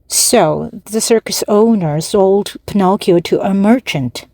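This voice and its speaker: A woman reads a children's storybook aloud in short, measured phrases. Her voice is mellow and dry, close and plain. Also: medium pitch, dry recording. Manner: measured, with pauses between phrases. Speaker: storybook narrator. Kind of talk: slow, clear read-aloud for language learners.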